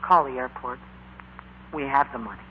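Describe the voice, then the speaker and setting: A man's voice: medium pitch, normal speed, close to an everyday voice. An American actor playing an eager, earnest teenage boy bandleader in a 1940s radio drama, with stammering hesitations. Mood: urgent, resolute. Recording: muffled, noisy 1940s radio recording.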